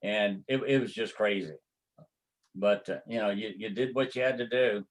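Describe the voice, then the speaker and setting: A man's voice: lowish voice, fairly even intonation. Older man with a Texas drawl. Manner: slow, halting storytelling with many fillers. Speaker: martial arts grandmaster. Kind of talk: reminiscing interview.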